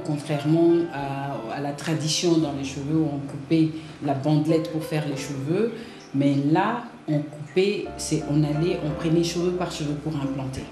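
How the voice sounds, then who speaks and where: A woman from Congo in her forties, answering interview questions in a halting way with many fillers and restarts. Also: sits low. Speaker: interviewee, hair clinic co-founder. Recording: roomy echo.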